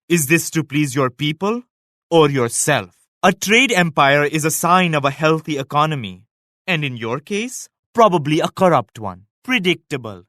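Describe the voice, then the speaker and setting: An actor playing a proud, pious emperor in a video game, speaking in a solemn, formal, measured way like an orator. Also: male voice, a little high, a bit bright, no echo, studio quality. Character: proud, pious emperor, stately and morally stern. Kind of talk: scripted video game voice acting.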